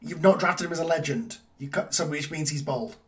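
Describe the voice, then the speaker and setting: A man's voice: fairly high pitch, brisk, recorded from a distance, crowd noise. A man hosting a casual group chat, keeping things moving with loose, repeated okays and all rights. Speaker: host running a fantasy draft.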